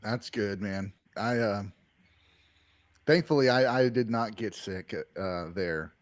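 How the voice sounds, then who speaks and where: A man's voice: fairly low pitch, takes it slowly, no echo. A man in his forties hosting a casual watch-along stream, easygoing and guiding the guests along. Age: 40s. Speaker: host. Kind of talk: casual chat.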